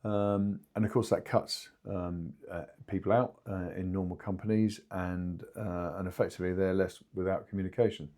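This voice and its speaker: A man explaining things haltingly in an informal podcast discussion, as a company spokesman. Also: sits low, fairly even intonation, warm tone. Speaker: two-way radio company spokesman.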